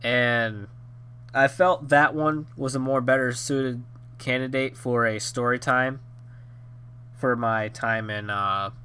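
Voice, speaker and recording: A man of twenty telling a story from his own life in a rambling, casual way, slightly hoarse and nasal from a cold. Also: medium pitch, fairly even intonation, slow pace, pushed and bright. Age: 20s. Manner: rambling with many fillers and restarts. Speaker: YouTube storyteller.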